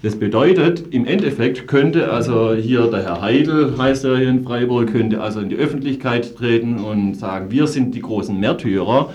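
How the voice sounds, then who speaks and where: A man in a political discussion, setting out his argument in a measured, analytical and wordy way. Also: medium pitch, darkish tone, muffled recording.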